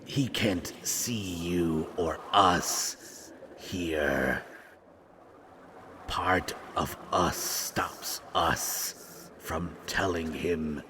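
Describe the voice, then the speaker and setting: A man voicing a fragmented monster in an audio drama, a menacing yet regretful servant who talks haltingly and ominously. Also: deep voice, sharp tone, slight background noise, full character voice. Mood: somber, ominous. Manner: slow, halting, ominous, speaks of himself as "we". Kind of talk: scripted roleplay audio drama.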